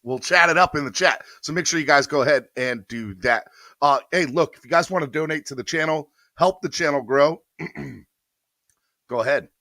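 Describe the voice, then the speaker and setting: A man hosting a live morning sports show, his voice a little hoarse but bright and forward. He talks upbeat and straight to his viewers. The sound is close and dry, with no room around it. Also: on the high side, normal speed, dry recording. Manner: upbeat, addresses viewers directly. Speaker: podcast host.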